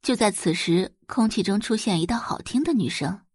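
Woman narrating a dramatic audiobook, super expressive, kinda husky, dry sound. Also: medium pitch, moderate pace, dry recording.

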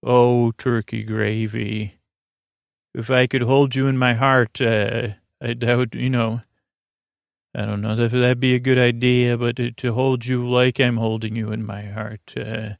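A man with a gravelly, creaky voice delivers a soothing bedtime monologue. He speaks slowly and wanders through halting asides in an even, lulling tone, recorded close and dry. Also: mid-range voice, dry recording. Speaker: podcast host.

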